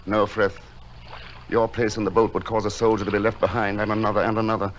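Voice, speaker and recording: A man playing a gentle, noble, resolute recluse in a radio play, speaking with solemn, theatrical earnestness. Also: fairly low pitch, flat delivery, fast talker, slight background noise, lightly acted. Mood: impassioned. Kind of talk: dramatic radio play acting.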